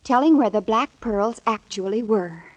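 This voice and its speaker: A woman's voice: fairly high pitch, expressive, normal speed, no room sound, rough recording. An actress playing an earnest, flustered twenty-year-old American woman in a 1940s radio drama, hesitating as she explains. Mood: flustered, eager.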